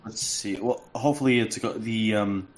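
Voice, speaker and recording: Man, guest on a podcast, somewhat low pitch, hesitant, remote audio dropping out. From United States. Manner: halting, with frequent restarts and fillers. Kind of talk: casual podcast chat. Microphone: remote call audio that cuts in and out.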